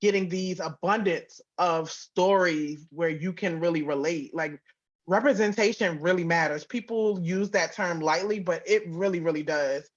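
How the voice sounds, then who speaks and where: A woman chatting casually as an interview guest, speaking in an animated, informal way peppered with "like". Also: lowish voice, moderate pace, muffled recording. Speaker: guest, audio drama writer and producer.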